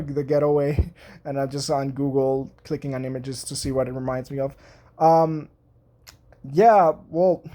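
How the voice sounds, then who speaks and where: A man's voice: a little high, a little mellow. A man giving a casual, rambling spoken review with lots of fillers and restarts, offering blunt and enthusiastic opinions from his computer at home. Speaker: YouTube reviewer.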